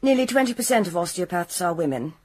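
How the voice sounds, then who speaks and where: An actress playing a no-nonsense osteopath in a radio drama, curt and commanding. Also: female voice, on the low side, animated, fast, a little mellow, no echo. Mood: brisk, commanding. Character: brisk, no-nonsense young female osteopath, bossy.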